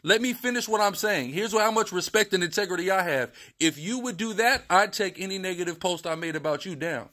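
Man, high voice, heated argument, insistent, lecturing, repeats himself. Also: animated, a little fast, hardly any pauses, sharp tone. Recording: leaked phone call audio.

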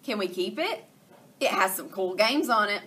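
A woman's voice: medium pitch, expressive, moderate pace, fairly bright tone, close to an everyday voice. A woman voicing squabbling young piglets with a whiny, bickering manner. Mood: eager. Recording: distant from the mic.

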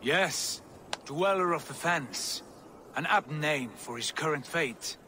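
An actor playing an earnest, compassionate warrior god in a video game, speaking formally. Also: male voice, a little high, lively intonation, slow pace, pushed and bright, slight background noise, full character voice. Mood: grave, sympathetic. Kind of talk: video game cutscene acting.

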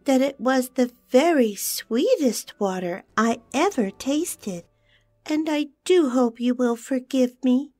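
A woman's voice: sits high, expressive, slow pace, warm tone, faint music behind, pitched above the performer's own voice, heavily acted. A woman voicing a small, timid female wren who speaks meekly and pleadingly. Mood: humble. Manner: meek and pleading.

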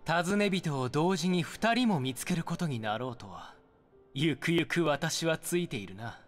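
A man's voice: on the high side, expressive, measured pace. A man voicing a haughty elf youth in a Japanese video game, self-satisfied and formal. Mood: pleased. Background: music.

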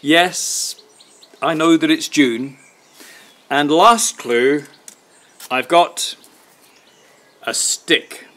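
A man, reading a picture book expressively to young children with deliberate pauses. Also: on the high side, fairly bright tone. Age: 60s. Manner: deliberate, pausing between phrases. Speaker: children's storytime reader. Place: outdoors in a garden by wooden fencing.

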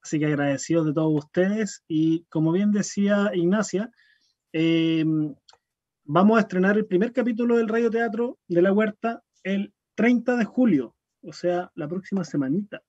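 Man, fairly high voice, organizer's announcements, dull compressed video-call sound. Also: normal speed, a little mellow, no room sound. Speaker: event organizer closing a workshop.

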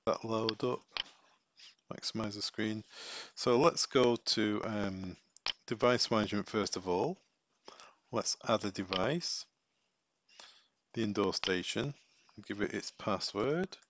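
A man giving a casual step-by-step software tutorial, thinking aloud as he works. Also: lowish voice, slow pace, long pauses.

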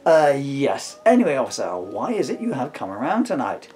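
A man's voice: mid-range voice, sing-song, moderate pace, a little mellow, natural voice. A man voicing an eager, easily flustered, bumbling young hero from a comic storybook, with a hesitant manner.

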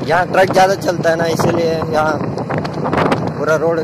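A man's voice: on the high side, fairly even intonation, normal speed. Young man vlogging from a motorbike. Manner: chatty, pointing things out to viewers. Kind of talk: casual ride commentary. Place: outdoors on a moving motorbike. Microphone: camera mic on a riding bike. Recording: loud wind and engine noise. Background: wind rush and traffic.

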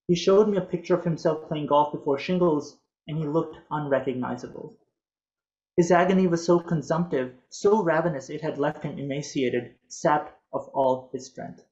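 Earnest man reading, slightly high voice. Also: moderate pace, darkish tone, lo-fi sound. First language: Urdu. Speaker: physician presenter. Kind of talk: reading a prepared talk. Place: home office with bookshelves. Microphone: video call.

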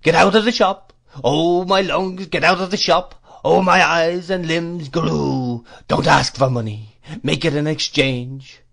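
A man voicing a fierce, drunken old shopkeeper from a storybook, in a monotonous sing-song whine with a rattle in the throat. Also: a little high, vocal fry, pitched above the performer's own voice, full character voice. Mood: agitated refusal. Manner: monotonous sing-song that swells and falls, drawn-out exclamations. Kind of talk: storybook character voice.

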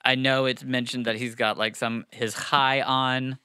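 A man's voice: medium pitch, flat delivery, a little slow, pushed and bright, clear, resonant tone, dry recording. A young man co-hosting a podcast, chatting casually about a book with many fillers and restarts, on a studio mic. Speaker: podcast co-host. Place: small studio, seated. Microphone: studio mic on boom arm.

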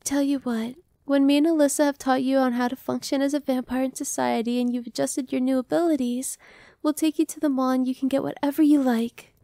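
A woman's voice: high voice, steady tone, a little fast, no room sound, studio quality. A woman playing a sweet newborn vampire girl. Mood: playful. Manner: soft, reassuring, playful, drops to whispers. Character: cheerful young female vampire, newly turned, friendly. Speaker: voice actress. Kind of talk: ASMR roleplay.

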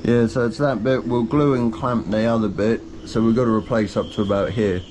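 A man's voice: medium pitch, fairly even intonation, fairly quick. A middle-aged man vlogging casually from inside a boat cabin under repair, filmed close on a handheld camera. He talks in a practical, rambling way and pokes fun at his own waffling. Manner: rambling, self-deprecating, practical explaining. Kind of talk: casual vlog chat. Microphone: handheld wide-angle camera close up. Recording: noisy boat workshop ambience.

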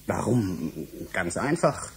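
An actor playing a polite, evasive academic official in a German radio drama, formal and measured. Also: male voice, sits low, big pitch swings, gravelly, husky, heavily acted. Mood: reserved, polite.

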